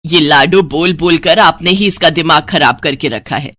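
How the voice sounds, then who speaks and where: A woman's voice: low voice, normal speed, a bit bright. A woman playing a grumbling, sarcastic middle-aged mother in an audio story. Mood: exasperated. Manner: scolding, sarcastic.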